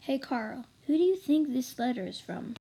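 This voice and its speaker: A woman's voice: high voice, sing-song, normal speed, dark tone, a little hiss or hum. A woman acting cranky, bossy, bickering old roommates in an amateur comedy radio drama.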